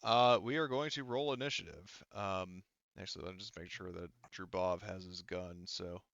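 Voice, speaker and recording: A man acting as game master of a tabletop roleplaying game, talking informally and haltingly with some swearing. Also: sits low, fairly even intonation, creaky, fairly bright tone, dry recording, lo-fi sound.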